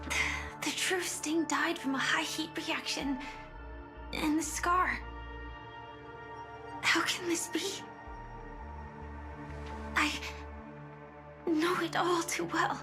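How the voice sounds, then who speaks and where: A woman playing a gentle young female soldier lost in a haunting dream in a video game, speaking in halting, pensive murmurs. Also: extremely high pitch, steady tone. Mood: bewildered, uneasy. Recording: music behind the voice. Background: background music.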